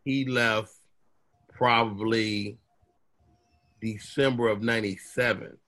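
A man's voice: lowish voice, slow pace. A man from Chicago who is a comedian, telling stories in casual conversation and acting out other people's voices. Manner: animated storyteller, acts out voices.

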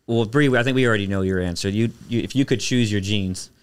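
Fast-talking man with a clear voice. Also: lowish voice. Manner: quick agreeing backchannels, chatty. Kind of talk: casual chat.

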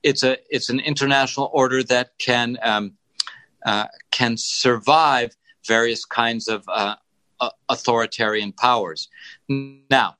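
A man answering as a policy guest in an interview, speaking formally and deliberately in halting phrases that he often repeats. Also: medium pitch, sharp tone, no room sound. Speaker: guest, government policy official.

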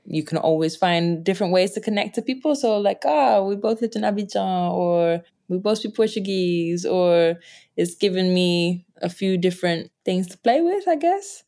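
A young woman answering interview questions, telling personal stories in a relaxed, chatty way and laughing often. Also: moderate pace. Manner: animated, laughs often, jumps up in pitch when quoting others. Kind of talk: reflective conversational storytelling.

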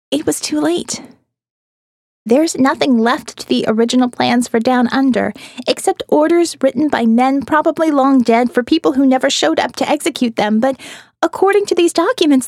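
A female audiobook narrator with a fairly high voice reads a first-person diary. She is expressive and reflective with a wry edge. The recording is close and dry, with no room sound. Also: dry recording. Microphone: close studio mic, dry.

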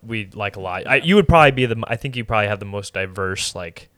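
A man's voice: lowish voice, expressive, quick pace, a bit bright, dry recording, studio quality. A man co-hosting a podcast, chatting casually in an easygoing, rambling, hedging way. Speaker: podcast co-host. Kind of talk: casual conversational chat.

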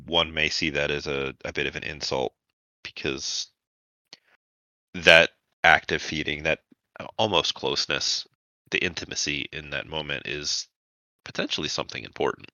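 A man narrating a tabletop roleplaying game as game master, in measured, deliberate phrases with pauses. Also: rumbling low, bright, forceful tone, dry recording.

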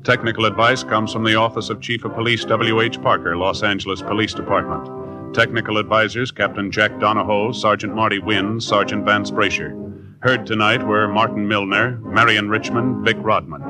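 A man announcing formally and solemnly, in measured delivery, in a 1950s radio style. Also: on the low side, fairly even intonation. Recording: vintage 1950s radio broadcast. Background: music.

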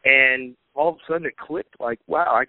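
Relaxed man talking over a phone line. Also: medium pitch, moderate pace, dry recording. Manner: relaxed storytelling, many fillers, laughs easily. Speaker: podcast guest, marketing coach. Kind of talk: casual interview talk. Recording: tinny phone-like line, dry.

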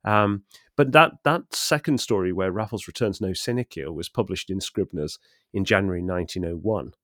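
A man co-hosting a literary discussion in a scholarly, measured manner, setting out his points with care. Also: low voice, a bit bright, dry recording, clean studio sound. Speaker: podcast co-host.

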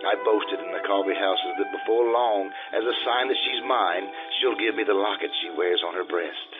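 An actor playing an aging, boastful Casanova in a florid, theatrical old-time radio drama style, through a vintage radio filter. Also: male voice, fairly low pitch, moderate pace. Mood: boastful seductive. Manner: florid, theatrical, self-admiring. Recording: tinny vintage radio sound with music.

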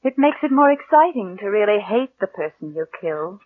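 An American actress playing a cold, calculating murderess who passes as meek, in controlled, deliberate mid-century radio suspense style. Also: female voice, mid-range voice, sing-song, no echo. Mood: coldly gloating. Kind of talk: 1940s-50s American radio suspense acting and narration. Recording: muffled vintage radio recording.

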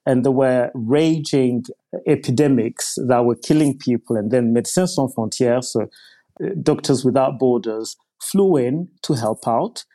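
A man, a physician guest on a podcast, explaining in a measured way with small hesitations. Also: mid-range voice, mellow. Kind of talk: podcast interview answer.

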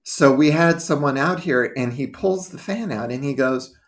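A man with a higher-pitched, slightly nasal voice, a guest on a podcast who tells a grumbling story in casual chat. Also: normal speed.

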